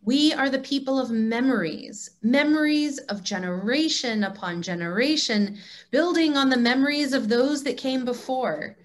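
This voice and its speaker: A woman acting as an assured, wise mentor with a fairly high voice. She teaches with deliberate emphasis in a staged dialogue read over a video call. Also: natural voice. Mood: earnest, teaching.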